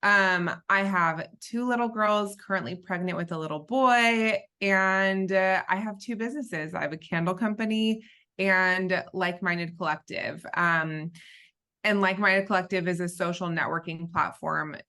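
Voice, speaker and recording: A woman with an American accent and a bright, forward voice that sits a little low. She speaks conversationally, as a guest explaining her work on a podcast. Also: unhurried. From Southern California, born in Israel. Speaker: podcast guest, business founder. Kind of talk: conversational interview answers.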